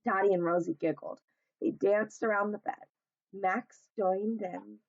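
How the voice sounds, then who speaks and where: Female read-aloud narrator for children's picture books, unhurried, very expressive, close dry sound. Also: medium pitch, no room sound, highs cut off. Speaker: teacher reading aloud.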